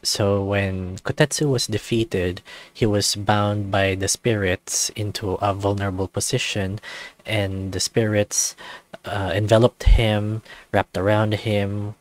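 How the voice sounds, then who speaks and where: A man reading a visual novel aloud for his channel, with casual, hesitant asides. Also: fairly low pitch. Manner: casual, hesitant, frequent "uh" fillers. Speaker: YouTube visual novel reader.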